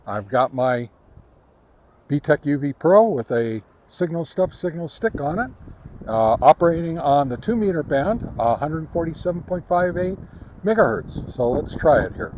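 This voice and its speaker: A man hosting a casual on-location gear test, talking to the camera in a halting, conversational way. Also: on the high side, very animated, a little mellow, a little hiss or hum. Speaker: radio hobbyist reviewer and host. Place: outdoors, on a back deck and in a park.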